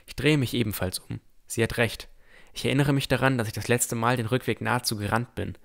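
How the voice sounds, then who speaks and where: Ein Mann spielt einen vorsichtigen jungen Entdecker, der sein Fantasy-Abenteuer bedacht und ernsthaft in der Ich-Form erzählt. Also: male voice, medium pitch, steady tone, a little fast, gravelly, close to an everyday voice. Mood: calm narrating. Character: cautious, thoughtful young male explorer narrating his adventure. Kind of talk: first-person scripted narration in fantasy audio drama.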